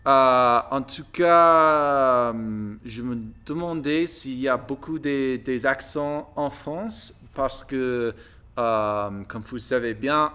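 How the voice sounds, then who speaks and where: American guy vlogging, native english speaker, slow n halting, keeps hunting for words, smooth clean voice. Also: mid-range voice, bright, forceful tone. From United States. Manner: halting, searching for words in a second language. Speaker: video blogger practising French. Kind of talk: casual monologue to viewers.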